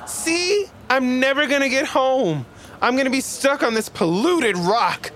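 A woman's voice: sits high, animated, a little slow, noisy background. A woman playing a naive, earnest young alien in an audio drama, literal, puzzled and eager. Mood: pleading.

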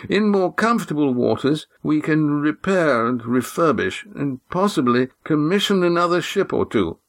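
A man's voice: fairly high pitch, big pitch swings, moderate pace, pitched above the performer's own voice. A man doing a thin-voiced stiff old patriarch. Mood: formal. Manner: formal, pompous, thin but firm voice.

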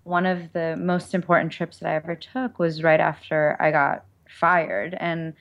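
A woman's voice: low-pitched, level tone, a little slow, lo-fi sound. A woman answering interview questions conversationally, explaining things in a reflective, informal way. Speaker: author and perfumer, interview guest.

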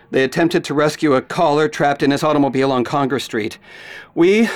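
A man's voice: mid-range voice, lightly acted. An actor playing a frightened, earnest radio newsman, stammering urgently through a live report in a radio drama.

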